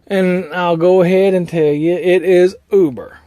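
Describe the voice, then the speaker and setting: A man's voice: high-pitched, fairly even intonation, unhurried, mellow, no echo. A man, an owner-operator truck driver talking casually in a plainspoken, meandering way. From United States. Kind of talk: casual vlog talk.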